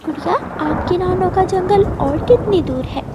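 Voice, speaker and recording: A woman's voice: very high-pitched, normal speed, muffled recording, noisy background, voice pushed up. A woman voicing a young girl bird, a loyal and watchful friend in a children's cartoon, polite and concerned. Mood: uneasy. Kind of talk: children's cartoon story acting.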